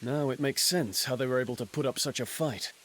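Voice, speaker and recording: A man's voice: mid-range voice, a little fast, a bit bright, lightly acted. A man playing a wary, wry warrior companion in a fantasy video game, plain-spoken and casual.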